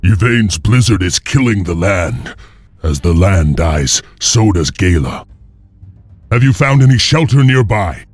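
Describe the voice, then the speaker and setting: Weary heroic wolf lord, low and grave, clipped phrasing, game cutscene with light music. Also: male voice, animated, unhurried, dry recording. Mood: concerned. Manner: terse, grave. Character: stoic, weary, resolute male wolf-clan lord and hero. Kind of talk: dramatic video game acting.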